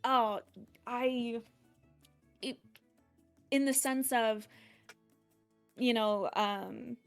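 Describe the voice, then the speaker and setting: A woman streamer with a deeper voice for a woman, speaking in a loose, rambling interview style. She is playful and breaks into laughter often. Faint music plays quietly behind her. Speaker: streamer, guest. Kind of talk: casual interview chat.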